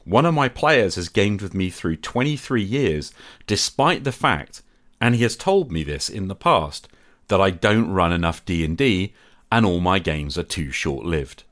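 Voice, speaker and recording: A man with a deep, low voice delivers a reflective scripted monologue. His tone is measured and candid, and he is openly critical of himself. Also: sing-song, a bit bright. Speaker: podcast host.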